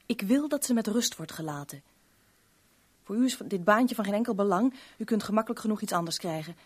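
An actress playing a proud, protective young heiress in a Dutch radio play, speaking in a reserved, formal and defensive manner. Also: female voice, medium pitch, expressive, a little fast, breathy edge. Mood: pleading, earnest. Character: guarded, protective, proud young stepdaughter of a millionaire.

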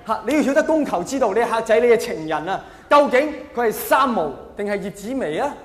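Hong kong cantonese guy doing standup, pretty high voice, theatrical, big echoey hall. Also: very animated, fast, fairly bright tone. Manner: theatrical, acts out quoted characters; rhetorical questions thrown at the audience. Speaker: stand-up comedian. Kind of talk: live stand-up comedy monologue to an audience. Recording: echoey hall, long reverb, faint noise.

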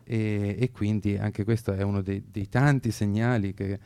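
A man with a low, creaky voice that stays quite flat. He sounds mellow and close-miked, explaining political views earnestly in halting, measured phrases. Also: no echo.

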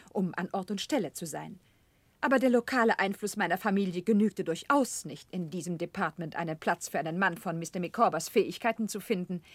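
Genteel, effusive, talkative wife. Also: female voice, mid-range voice, husky, no echo. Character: genteel, talkative wife, proud of family and husband. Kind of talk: German radio play acting.

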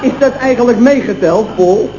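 An actor playing a flippant, rebellious young nephew with an insolent manner in a vintage radio comedy. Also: male voice, extremely high pitch, moderate pace, a crowd in the background. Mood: cheeky, put out. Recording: muffled, noisy old radio recording.

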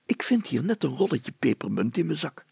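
Man doing an excitable, high-voiced talking bluebottle fly. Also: a little fast, creaky, breathy edge, no room sound, exaggerated voice. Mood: excited, chirpy. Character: chatty, fretful talking bluebottle fly, easily excited companion.

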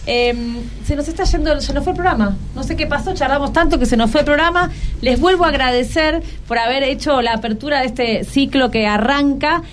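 A woman radio host with a Buenos Aires accent speaks in a fairly high voice. She chats casually and prompts with questions, as in an interview with kids, over faint background noise. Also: brisk. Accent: Argentine, Buenos Aires. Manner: conversational, prompting with short questions.

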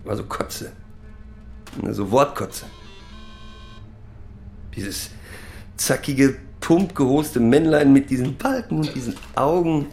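An actor playing a bitter pub regular in a radio drama, ranting in halting, repetitive colloquial speech. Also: male voice, mid-range voice, animated, darkish tone, faint music behind. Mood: disgusted ranting. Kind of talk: naturalistic radio drama monologue.

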